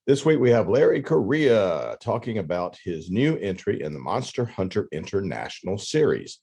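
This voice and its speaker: A man's voice: on the low side, very animated, moderate pace, creaky, darkish tone, slightly rough. A man hosting a podcast, reading scripted announcements with casual, tongue-in-cheek asides. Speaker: podcast host and book editor.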